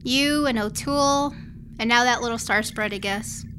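A woman playing a tough, guarded spaceship captain with a soft side, blunt and gruff in manner, in a science fiction audio drama. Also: a little high, moderate pace, a little hiss or hum. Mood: fierce loyalty. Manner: blunt, gruff, clipped commands.

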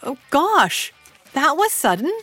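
A woman's voice: sits high, very animated, takes it slowly, pushed and bright, soft background music, full character voice. An actress playing a polite, proper, determined middle-aged mother in an audio drama, speaking with prim formality. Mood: polite, flustered.

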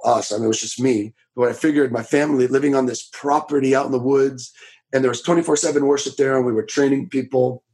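A man in his thirties from Florida, a preacher telling his story in a casual interview, with a raspy voice, talking loud and fast with many restarts. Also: mid-range voice. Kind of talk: casual testimony in a podcast interview.

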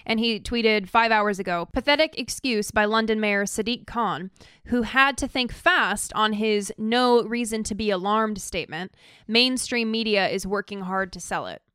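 A woman's voice: medium pitch, moderate pace, pushed and bright, clean tone, no room sound, clean studio sound. An American woman co-hosting a podcast, talking through politics in casual chat. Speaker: podcast co-host.

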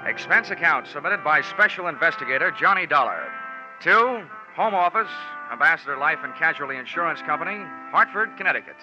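An actor playing a wry, hard-boiled American insurance investigator in a 1940s radio drama, narrating with dry, deadpan wisecracks. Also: male voice, a little high, fairly quick, close to an everyday voice. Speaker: lead actor narrating as the detective. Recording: muffled 1940s radio recording with music.

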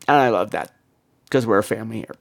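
A man hosting a podcast in a campy, irreverent, rambling way, his voice hoarse from laryngitis. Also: on the low side, quick pace. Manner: campy, irreverent, crude joking. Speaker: podcast host. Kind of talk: rambling comic casual chat.

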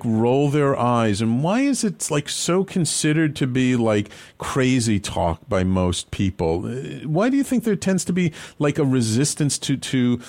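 A man hosting an interview podcast, asking questions in a halting way with many fillers and restarts. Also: on the high side, darkish tone. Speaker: podcast host.

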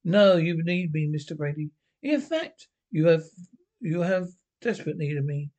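A man's voice: fairly high pitch, animated, a little mellow, lightly acted. A man voicing a smug, taunting inner voice. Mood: mocking. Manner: sly, taunting, drawn-out. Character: mocking male inner voice, smug and knowing.

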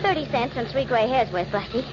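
An actress playing a spirited, worried girlfriend of a sleuth in a 1940s radio drama, brisk and earnest, on a muffled old recording. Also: fairly high pitch, lively intonation, heavy background noise, natural voice. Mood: urgent.

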